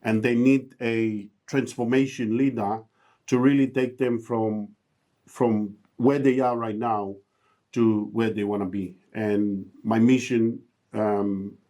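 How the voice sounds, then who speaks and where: A man's voice: fairly low pitch, warm tone. A middle-aged man from Tonga, speaking earnestly as a podcast guest and motivational speaker, his English grammar uneven. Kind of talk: podcast interview.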